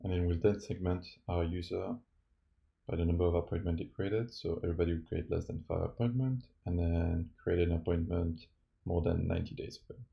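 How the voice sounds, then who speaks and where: Very gravelly, low-voiced man presenting a demo. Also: steady tone, a little fast, lo-fi sound. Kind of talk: scripted tutorial narration over a screen recording.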